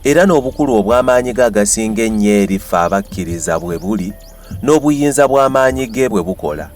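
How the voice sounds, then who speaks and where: A man's voice: lowish voice, lively intonation, fast, a little mellow. A man with a Ugandan accent reads scripture aloud as a scripted narration. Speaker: Bible narrator. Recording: music behind the voice.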